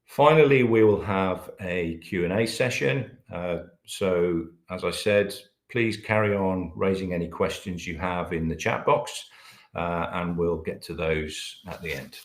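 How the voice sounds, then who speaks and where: Very deep-voiced British man presenting. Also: measured pace, echoey room. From Britain. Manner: hesitant, many filler sounds. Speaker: webinar presenter, managing director. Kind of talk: business webinar presentation.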